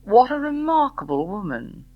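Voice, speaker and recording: A woman voicing a courteous, admiring English gentleman in an audiobook, speaking in a genial, courtly way. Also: fairly high pitch, big pitch swings, normal speed, highs cut off, voice pushed up. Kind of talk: audiobook character dialogue.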